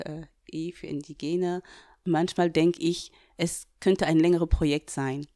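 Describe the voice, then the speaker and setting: A woman's voice: sits low, dark tone, dry recording, clean studio sound. A woman from Cameroon, a diversity trainer answering interview questions earnestly, with frequent hesitations. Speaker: diversity trainer, workshop leader.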